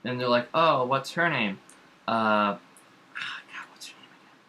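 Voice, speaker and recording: A man's voice: mid-range voice, a little slow, sharp tone. A man talking as a podcast co-host in casual, opinionated pop culture chat, informal, rambling and emphatic.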